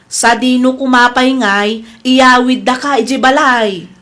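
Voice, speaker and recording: A meddling sister-in-law in a radio play, with a high voice and an insistent, coaxing manner. She pushes her plans and won't let anyone argue. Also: a little slow, a bit bright. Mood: scheming. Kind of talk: radio drama acting.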